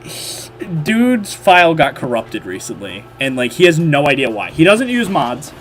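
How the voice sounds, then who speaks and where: A man's voice: a little high, big pitch swings, normal speed, a bit bright, slight background noise. Nasal man, rambling stream chat. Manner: rambling, filler-heavy, frequent restarts. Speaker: livestream host. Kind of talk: casual livestream chat while modding.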